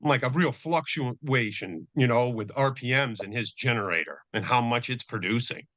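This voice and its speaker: A man's voice: mid-range voice, moderate pace, bright, forceful tone, no echo. A man speaking casually and somewhat hesitantly as a participant in a group technical call, circling around his point. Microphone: conference call.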